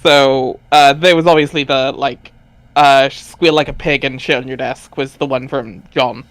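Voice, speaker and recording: A man's voice: medium pitch, steady tone, unhurried, fairly bright tone, no echo. A man chatting casually as he explains background details to a group, often hesitant and searching for words. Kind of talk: casual chat, commentary.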